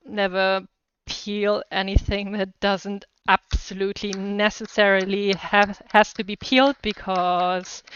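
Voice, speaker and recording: Very clear-voiced German-accented woman. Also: mid-range voice, fairly bright tone, no room sound, highs cut off. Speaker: cooking livestream host. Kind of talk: casual chat to live viewers while cooking.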